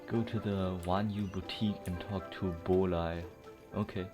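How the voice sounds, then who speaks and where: Low-voiced, rambling gamer. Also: male voice, fairly even intonation, normal speed. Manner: rambling, laid-back, amused, with filler words. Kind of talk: casual gameplay commentary. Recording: voice over loud game music.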